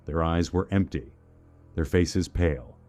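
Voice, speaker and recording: A man narrating a scripted first-person horror story in a measured, suspenseful manner. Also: low voice, lively intonation, a little mellow, soft background music.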